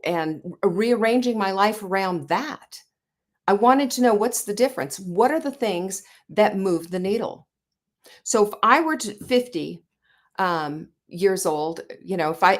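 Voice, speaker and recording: A woman in her sixties hosting a talk show, speaking earnestly and conversationally with frequent fillers and restarts. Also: mid-range voice. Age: 60s. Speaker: show host. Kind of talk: motivational talk.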